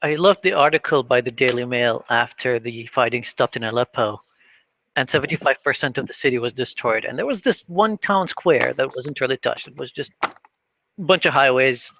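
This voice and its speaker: A man's voice: mid-range voice, lively intonation, normal speed, vocal fry, fairly bright tone, a little hiss or hum, rough recording. A man chatting casually on a stream, laughing often and joking sarcastically about politics.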